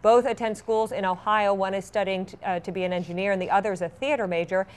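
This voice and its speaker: A woman's voice: medium pitch, steady tone, fast, clean tone. A woman anchoring a newscast, reading scripted stories with light conversational asides. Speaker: TV news anchor.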